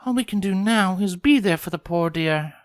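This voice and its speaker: A man's voice: high-pitched, lively intonation, normal speed, clear, resonant tone, no room sound, studio quality, pitched above the performer's own voice. A man voicing a refined, ladylike female cartoon unicorn with a poised, caring manner. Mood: consoling, firm. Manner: poised, genteel, consoling.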